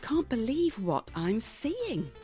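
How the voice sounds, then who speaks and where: A woman with a British accent reading a first-person story dramatically, with an expressive, wry, exasperated manner. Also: fairly high pitch, slow, faint music behind. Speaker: story reader.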